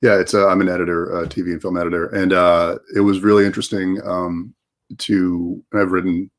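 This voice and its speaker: Low, even-toned hesitant man. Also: fairly quick. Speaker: panel guest, writer and editor. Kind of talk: casual unscripted panel talk.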